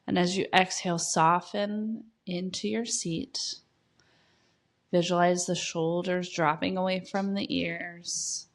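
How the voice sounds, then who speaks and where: A woman leading a guided meditation, giving gentle, soft instructions with long pauses between phrases. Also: fairly low pitch.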